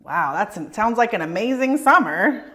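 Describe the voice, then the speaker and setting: A woman reads a storybook to young children. Her pitch moves up and down in a lively way, with clear emphasis on key words, as an elementary read-aloud presenter does. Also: normal speed. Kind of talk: expressive children's picture book read-aloud.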